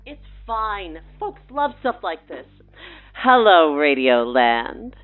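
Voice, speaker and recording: A woman's voice: on the low side, big pitch swings, takes it slowly, narrow, tinny audio, soft background music. A woman with a breezy, pushy, playful manner, giving casual promotional banter as a guest on a mock radio show. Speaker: guest showrunner and writer.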